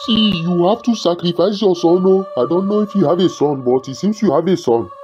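A man's voice: sits high, a little fast, close to an everyday voice. A man with a Nigerian accent playing a menacing, demanding shrine priest in a film drama, commanding and insistent, drawing out exclamations. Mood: solemn, coaxing. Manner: commanding, insistent, ends phrases on drawn exclamations. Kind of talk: melodramatic film acting. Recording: muffled radio-like band-limited sound under loud music.

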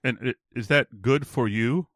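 A man's voice: medium pitch, unhurried, fairly bright tone, no room sound, clean studio sound. An American man hosting a podcast, asking curious follow-up questions and reading a listener comment aloud. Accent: General American. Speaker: podcast host. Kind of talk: casual interview chat.

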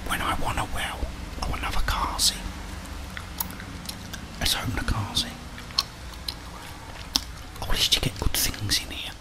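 A man's voice: a little slow, long pauses, low-quality recording. Whispering British man, ASMR gamer. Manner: muttering to himself, thinking aloud. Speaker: gaming streamer. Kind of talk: whispered ASMR game commentary.

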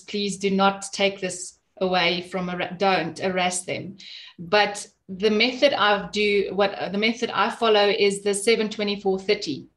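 A middle-aged woman speaks at a medium pitch on an online panel. She explains her advice in an earnest and direct conversational tone, like a sales professional. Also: fairly bright tone. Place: small office, video call.

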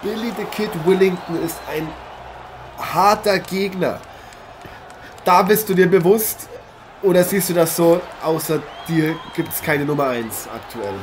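A man playing an eager, chatty boxing commentator and interviewer in an improvised radio play, polite and halting. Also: high-pitched. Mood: excited, welcoming. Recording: noisy, with arena ambience behind.

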